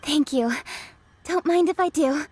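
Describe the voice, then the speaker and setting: A woman's voice: high-pitched, unhurried, a little mellow. A woman voicing an earnest, thoughtful young noblewoman official in a game, formal and articulate. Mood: grateful. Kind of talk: scripted game voice acting.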